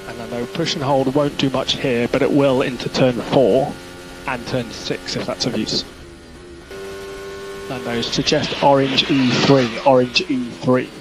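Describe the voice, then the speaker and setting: A man's voice: medium pitch, fairly even intonation, unhurried, music in the background. A man working as a race engineer, giving clipped instructions over team radio and repeating the key calls. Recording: noisy team radio transmission.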